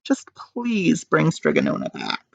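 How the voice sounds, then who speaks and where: A man's voice: a little high, wildly swooping pitch, unhurried, creaky, dry recording, highs cut off, pitched above the performer's own voice, heavily acted. A man voicing a big foolish young man in a storybook, tearful, remorseful and pleading. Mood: earnest pleading. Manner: howling, sobbing, pleading.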